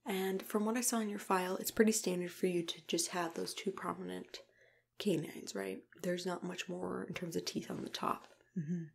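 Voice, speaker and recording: A young woman in a soft, unhurried whisper, playing a gentle, reassuring dentist in an ASMR roleplay, close to the mic. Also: on the low side, fairly even intonation. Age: young adult. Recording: clear background noise and room ambience.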